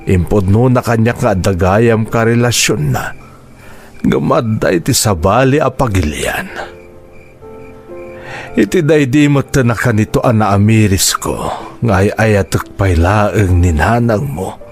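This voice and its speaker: A man's voice: lowish voice, full character voice. A man playing a middle-aged, remorseful father in an audio drama, patient, earnest and pleading. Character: remorseful, patient father confessing to his son. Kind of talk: Ilocano audio drama acting.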